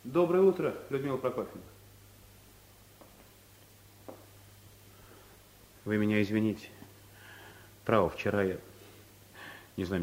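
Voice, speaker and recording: Actor doing timid clumsy office guy, flustered n apologetic, talks fast, voice all over the place, radio comedy. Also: fairly low pitch, a little mellow, lightly acted. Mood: nervous, apologetic. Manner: meek, flustered, placating. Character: timid, bumbling, apologetic male office clerk. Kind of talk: radio comedy play acting.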